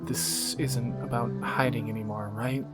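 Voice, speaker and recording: A man's voice: mid-range voice, steady tone, a little slow, over loud music, lightly acted. A man playing a wry young thief in a romantic audio roleplay, teasing and sardonic in a conversational way, anxious yet affectionate. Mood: tense, hushed. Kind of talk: scripted romantic audio roleplay, spoken to the listener.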